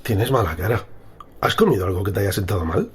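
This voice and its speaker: A man's voice: on the low side, sing-song, brisk. A man playing a demanding but concerned sports coach in an audio drama. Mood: concerned, steadying. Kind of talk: acted audio drama dialogue. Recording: noticeable background noise.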